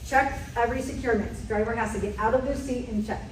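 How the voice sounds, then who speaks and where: A woman's voice: a little high, steady tone, quick pace, a little hiss or hum. Woman leading a safety quiz, echoey. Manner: direct, quizzing the room. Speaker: safety trainer. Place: meeting room. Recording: echoey room from far off.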